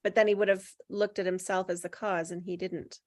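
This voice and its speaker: A woman's voice: on the low side, flat delivery, fairly quick, dry recording, clean studio sound. An agreeable woman chiming in readily during a casual group discussion.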